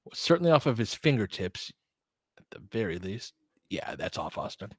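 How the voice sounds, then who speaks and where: A man doing casual live basketball commentary and analysis, talking informally and thinking out loud as he goes. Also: lowish voice, big pitch swings, normal speed, slightly rough, dry recording, clean studio sound. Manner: conversational, informal, thinking aloud. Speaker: basketball play-by-play streamer and analyst.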